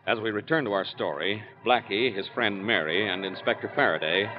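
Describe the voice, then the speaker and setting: A man's voice: lowish voice, brisk. A man narrating a radio drama as announcer in scripted 1940s style, on a dull old recording. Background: music.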